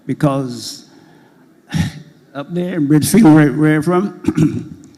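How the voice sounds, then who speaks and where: A hoarse man with a mellow, slightly high voice speaks up from the audience. He is earnest and a bit rambling, and the long echo of a large hall trails behind his words. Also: slow. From Barbados. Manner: earnest, rambling, with frequent fillers. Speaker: audience member asking questions. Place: school hall at a public meeting.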